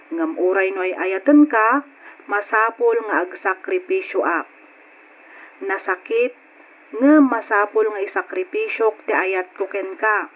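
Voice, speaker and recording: A woman's voice: mid-range voice, muffled recording, lightly acted. An actress playing a girlish younger sister figure in an old-style radio drama. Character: younger sisterly girl reading a love letter aloud.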